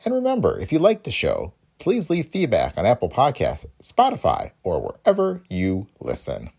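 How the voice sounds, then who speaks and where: Mellow, husky podcast host. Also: male voice, medium pitch, normal speed. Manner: read from a script, lively intonation. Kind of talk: scripted podcast introduction.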